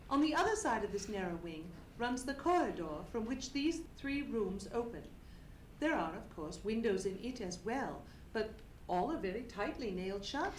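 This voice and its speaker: A woman playing an anxious, earnest young gentlewoman in a live radio play, polite in manner. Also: a little high, animated, moderate pace, natural voice. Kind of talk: live old-time radio play acting. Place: theatre stage, indoors. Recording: echoey live stage with faint noise.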